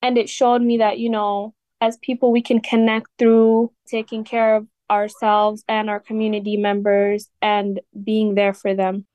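A teenage girl, a high school student born in Ethiopia, answering radio interview questions earnestly with many fillers like "like" and "you know". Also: completely flat delivery, a little slow, few pauses, a little mellow, no room sound. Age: teens.

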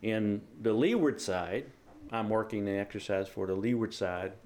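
A man's voice: lowish voice, normal speed, darkish tone. A middle-aged man gives an interview. He explains his hobby in a plain, casual way. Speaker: amateur radio operator being interviewed.

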